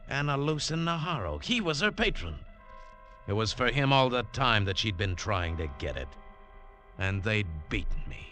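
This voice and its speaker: A man's voice: medium pitch, sing-song, moderate pace, lightly acted. A man playing a tough, wary American adventurer who narrates his treasure hunt in clipped, hardboiled 1940s radio style. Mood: intense, brooding. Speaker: lead actor and narrator. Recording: old 1940s radio broadcast, music behind.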